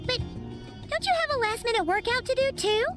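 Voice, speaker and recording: Squeaky little fairy companion, very high. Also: female voice, animated, fairly quick, music in the background. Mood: curious. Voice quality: squeaky, childlike. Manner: talks of self in third person, exclaims. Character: small, excitable floating fairy companion, childlike girl. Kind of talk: animated game voice acting.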